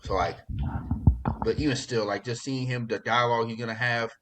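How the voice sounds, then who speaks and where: Man, podcast host, medium pitch, casual chat, excitable, slangy, swears freely. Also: a little fast, a little hiss or hum. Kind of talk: casual pop culture chat.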